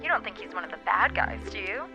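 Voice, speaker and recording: A woman voicing a brisk, impatient, sassy research sidekick in a video game, casual and blunt. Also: mid-range voice, sing-song, dull audio. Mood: casual, efficient. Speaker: voice actor. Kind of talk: scripted point-and-click game dialogue. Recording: music under the dialogue. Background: background music.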